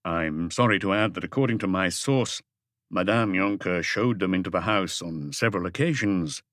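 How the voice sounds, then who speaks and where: A male narrator plays a patient, methodical detective in an audiobook mystery. The voice is on the low side, formal and deliberate, with a probing firmness. It sounds dry and close. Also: a bit bright, no echo. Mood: tactful, probing. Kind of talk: audiobook character dialogue.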